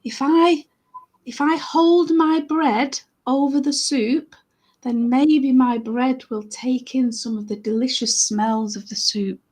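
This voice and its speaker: A meek, starving man in a storybook, played by a woman. His voice is high and wistful. He repeats himself as he longs and pleads. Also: steady tone, takes it slowly, a little mellow. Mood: wistful longing. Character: poor, starving, meek man longing for soup.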